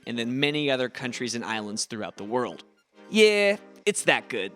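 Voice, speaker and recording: Bright upbeat young man from Ohio. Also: mid-range voice. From Ohio, USA. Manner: upbeat, encouraging, chatty. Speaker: language teacher, channel host. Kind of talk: casual video lesson.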